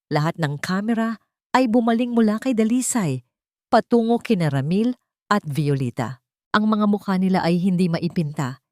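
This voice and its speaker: Lively-pitched woman narrating melodrama. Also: no room sound, clean studio sound. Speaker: story narrator. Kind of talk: dramatic scripted narration of romance melodrama.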